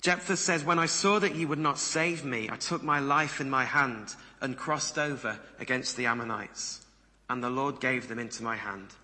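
A man preaches with a strikingly bright, forward voice at a middle pitch. His sermon delivery is conversational, often turning to the listeners with rhetorical questions. The sound carries the long reverb of a hall. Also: moderate pace. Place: large reverberant room before a congregation.

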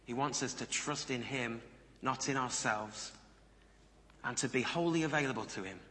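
Bright-voiced man preaching in a reverberant hall. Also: medium pitch, normal speed. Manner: conversational, rhetorical questions to listeners. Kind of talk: sermon. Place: large reverberant room before a congregation.

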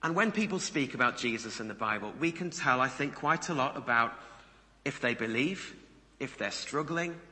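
A man preaches with a strikingly bright, forward voice at a middle pitch. His sermon delivery is conversational, often turning to the listeners with rhetorical questions. The sound carries the long reverb of a hall. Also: animated, normal speed. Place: large reverberant room before a congregation.

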